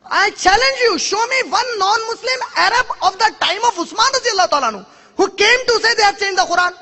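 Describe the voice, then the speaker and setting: A very high-pitched man with a bright, forceful tone, whose first language is Urdu, makes an emphatic argument before an audience. He pushes his points with pointed rhetorical questions and challenges. His voice rings with long reverb from a large hall. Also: lively intonation, quick pace. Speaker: speaker answering an audience question. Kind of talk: public question-and-answer lecture.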